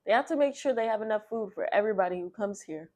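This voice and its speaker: A woman reading the part of a gentle, weary mother in a children's storybook. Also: medium pitch, animated, quick pace, natural voice.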